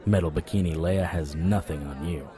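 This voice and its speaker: An actor playing a stubborn, wisecracking supernatural private investigator in an audio drama, wry, flirtatious and persuasive. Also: male voice, deep voice, normal speed, crowd noise, lightly acted. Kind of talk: audio drama acting with noir internal monologue.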